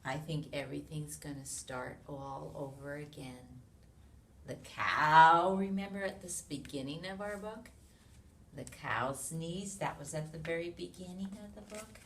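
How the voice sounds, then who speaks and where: An American woman reads a picture book aloud to young children in an expressive way, with sound effects, questions and hushed asides to her listeners. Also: low voice, a little slow. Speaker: children's read-along reader. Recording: roomy, distant mic with noise.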